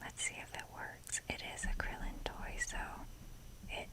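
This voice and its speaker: A woman speaking in a soft breathy whisper, rambling casually and hesitantly with wry, self-deprecating humour in an ASMR show-and-tell style. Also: normal speed. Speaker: ASMR video creator showing a toy collection.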